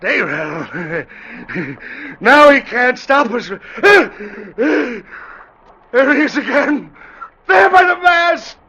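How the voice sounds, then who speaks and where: An actor playing a guilt-crazed, haunted ship captain, pleading and raving in melodramatic old-time radio drama style. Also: male voice, very high-pitched, big pitch swings, extremely slow, pushed and bright, slightly rough, heavily acted. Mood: frenzied. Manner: frantic, rambling, melodramatic. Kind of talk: 1940s-50s radio drama acting. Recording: dull, noisy vintage radio recording.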